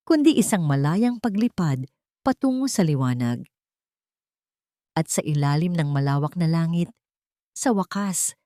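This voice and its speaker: An earnest woman storyteller narrates a scripted drama and speaks politely to her listeners. Her voice sits low but rises and falls widely. The voice-over sounds close and dry. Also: warm tone, no room sound.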